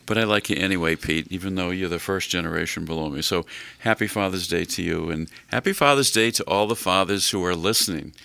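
An older man hosting a radio talk show, chatting slowly in a folksy way with many fillers. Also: low-pitched, gravelly, sharp tone, dry recording.

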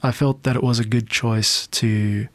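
A South African man hosting a podcast, explaining in a measured way like a music producer walking through his work. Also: mid-range voice, steady tone, a bit bright, dry recording.

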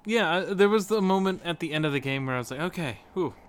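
A man's voice: on the high side, expressive, fast, slight background noise. A man talking casually and jokily about video games as a podcast host, with informal, sweary phrasing. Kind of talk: casual video game discussion.

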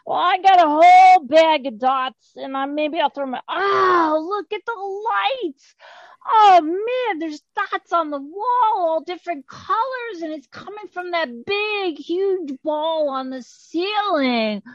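A woman's voice: high voice, moderate pace, few pauses, a little mellow, no room sound. A woman voicing a small, wonderstruck troll who muses hesitantly in an improvised audio drama, slightly muffled. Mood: amazed.

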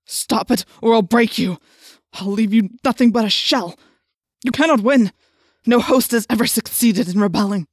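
A woman's voice: lowish voice, normal speed, no room sound, lightly acted. A cruel alien tyrant inhabiting a man's body , acted out by a woman. The delivery is menacing and commanding, with threats pushed through gritted teeth, as in a dramatized storybook. The sound is dry and close. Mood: furious, commanding. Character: cruel alien slug controlling a male assistant principal, menacing.

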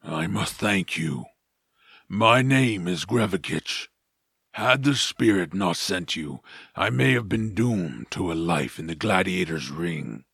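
A man's voice: low voice, lively intonation, slow pace, creaky, a bit bright, breathy edge, dry recording, clean studio sound, heavily acted. A man playing a big, honorable clan warrior creature in an audio drama, speaking in a grave, formal, ceremonious way. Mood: solemn gratitude.

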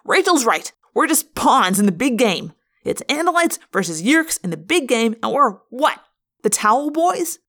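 A woman's voice: mid-range voice, sing-song, normal speed, a bit bright. A woman voicing a sardonic, accusing teenage boy in an audiobook, with a sneering, pressing delivery. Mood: angry, sarcastic. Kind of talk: audiobook character voice.

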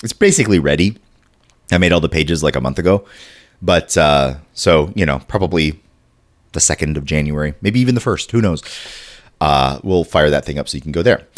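A podcast host with a stuffy chest cold, chatting casually, rambling and restarting his sentences and clearing his throat often. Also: extremely low pitch, brisk.

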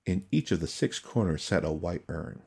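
A man reading dramatic fantasy narration as an audiobook narrator, solemn, measured and suspenseful. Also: low voice, lively intonation, gravelly, darkish tone, breathy edge.

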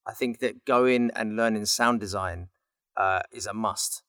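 A man's voice: lowish voice, a little slow, a bit bright, dry recording, clean studio sound. A man in a casual chat as a voice actor guest, speaking informally and earnestly.

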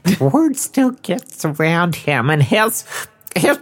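A man's voice: sits high, big pitch swings, slow pace, full character voice. A man voicing a squawking, shifty chicken crook who stammers and pleads in a comic audio drama. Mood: shifty, nervous. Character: frantic, squawking male chicken crook, shifty petty criminal.

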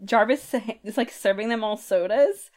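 A woman's voice: fairly high pitch, normal speed, a little mellow. A woman co-hosting a podcast, talking in an informal, conversational way as she recaps a comic book. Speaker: podcast co-host. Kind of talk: casual chat, recapping comic books.

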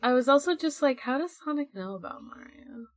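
A woman's voice: high voice, expressive, brisk, dry recording, studio quality. A woman co-hosting a casual, joking discussion in an informal, amused and giggly way. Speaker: podcast co-host. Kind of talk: casual chat, joking commentary.

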